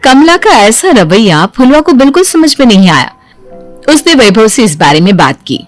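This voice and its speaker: A woman's voice: on the high side, big pitch swings, normal speed. A woman narrating a scripted moral story as a storyteller. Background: background music.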